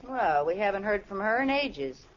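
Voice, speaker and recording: An actress playing a playful, catty housewife in a 1940s radio comedy, teasing and sarcastic. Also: female voice, medium pitch, very animated, moderate pace, lightly acted. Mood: catty, jealous. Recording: muffled old radio recording, heavy noise, audience.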